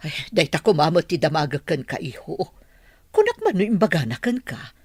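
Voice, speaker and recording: A woman playing a concerned older mother with a husky voice in an Ilocano radio drama. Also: sits low, huge pitch swings, brisk, a little mellow, no echo, clean studio sound, heavily acted. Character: concerned older mother, questioning about her son.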